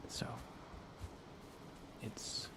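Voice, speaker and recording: A man speaking in a soft, breathy whisper, giving unhurried, gently playful ASMR commentary while he plays a video game for viewers. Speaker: livestreamer playing a video game.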